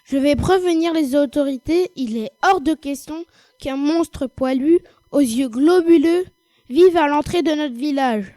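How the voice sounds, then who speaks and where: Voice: sits high, a little slow, dark tone, no room sound. A child performer playing an alarmed, indignant villager in an amateur audio drama. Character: alarmed villager, indignant about a hairy monster.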